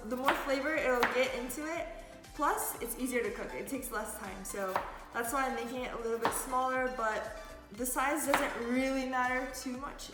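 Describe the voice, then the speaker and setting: Chatty woman, echoey kitchen, background music. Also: on the high side. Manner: chatty, loose, many fillers. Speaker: cooking vlogger. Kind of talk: casual cooking tutorial. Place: home kitchen.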